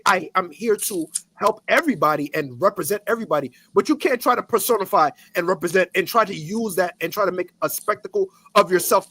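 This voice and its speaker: A man's voice: high voice, bright, forceful tone, breathy edge. A man co-hosting a podcast, talking emphatically in casual chat, repeating himself and swearing. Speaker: podcast co-host.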